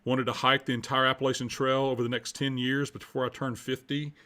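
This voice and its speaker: A man's voice: mid-range voice, level tone, fairly quick, sharp tone. A man in his fifties with an American accent, answering interview questions conversationally and earnestly, repeating phrases for emphasis. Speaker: podcast guest, culture coach and minister.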